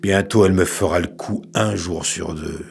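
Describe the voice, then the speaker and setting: A fed up tired dad, really deep gravelly mutter, radio play. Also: male voice, moderate pace, slight background noise. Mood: resigned. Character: weary, exasperated father grumbling about his wife. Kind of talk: audio fiction acting.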